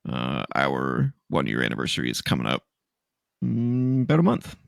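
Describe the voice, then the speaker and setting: A man co-hosting a casual podcast, stuffy and sniffly from allergies, loose and laughing, swooping high for emphasis. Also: wildly swooping pitch, moderate pace, gravelly, dry recording, studio quality. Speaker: podcast co-host. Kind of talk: casual chat.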